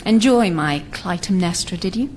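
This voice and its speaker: A woman's voice: on the low side, animated, normal speed, noisy background, natural voice. A woman playing a vain, easily offended film star in a radio sitcom, with a grand, condescending manner. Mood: grand, scornful.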